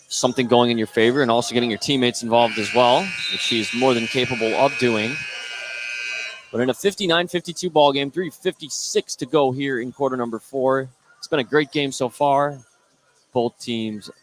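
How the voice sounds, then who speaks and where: A man's voice: medium pitch, normal speed. Male basketball play-by-play announcer, noisy gym. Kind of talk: live basketball radio commentary. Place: indoor basketball gym, live game. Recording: noisy live gym broadcast.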